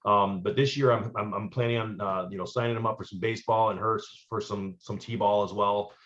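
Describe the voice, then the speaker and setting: Low-voiced man rambling with fillers. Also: fairly even intonation, a little fast, fairly bright tone. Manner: rambling, frequent fillers and restarts. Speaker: guest, former pitcher and coach. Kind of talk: casual interview chat. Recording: lo-fi muffled recording.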